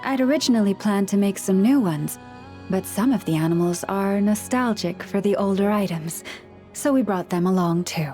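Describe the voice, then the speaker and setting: A woman's voice: mid-range voice, faint music behind, lightly acted. Serene Moon Chanter, voiced by a woman. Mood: warm. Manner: calm, measured, earnest. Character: gentle, serene young woman Moon Chanter, caring listener. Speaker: spiritual counselor to people and animals. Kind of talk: video game voice acting.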